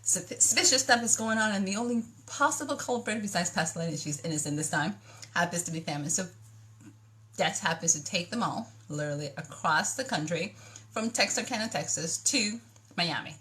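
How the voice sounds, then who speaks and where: A woman's voice: on the low side, moderate pace. A woman with a Dominican accent reading her own novel aloud, giving the characters their lines and stopping to chat about the story. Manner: chatty, animated dialogue voices. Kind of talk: book reading with asides.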